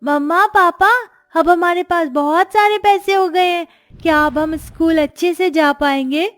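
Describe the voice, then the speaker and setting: A sweet little schoolgirl from a cartoon moral tale. Her childlike voice sits extremely high and she speaks slowly, pleading with her parents. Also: fairly bright tone. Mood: eager. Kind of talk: Hindi cartoon story voice acting.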